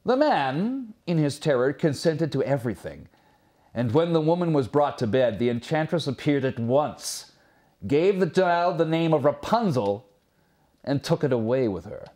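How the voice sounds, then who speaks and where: A man reading a fairy tale as a storyteller, in a dramatic, expressive scripted narration with pauses. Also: on the high side.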